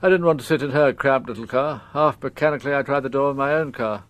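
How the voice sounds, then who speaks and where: Actor as grave gentleman, close dry sound. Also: mid-range voice, no room sound, close to an everyday voice. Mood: rueful, halting. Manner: formal, reticent, halting, precise. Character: grave, dignified upper-class father confessing to a crime. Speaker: actor in a radio drama. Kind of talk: radio drama acting, confessional dialogue.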